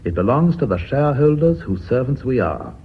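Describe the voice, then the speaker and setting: An actor playing a blunt, authoritative company chairman in a radio drama, with an English accent and formal, measured, courteous speech. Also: mid-range voice, sing-song, close to an everyday voice. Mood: formal, firm. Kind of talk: BBC radio drama acting. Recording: muffled phone-like old radio recording, hiss.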